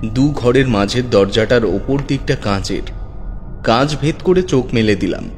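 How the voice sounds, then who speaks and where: A man's voice: mid-range voice, lively intonation, normal speed, a little mellow. Man narrating a suspenseful horror story. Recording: long hall reverb with music. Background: faint background music.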